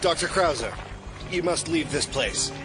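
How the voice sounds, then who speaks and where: An actor with an American accent playing a mysterious, commanding and sardonic operative in an audio drama. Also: male voice, fairly high pitch, lively intonation, measured pace, poor audio. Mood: commanding, urgent. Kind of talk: modern audio drama acting. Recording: dramatic music and effects behind.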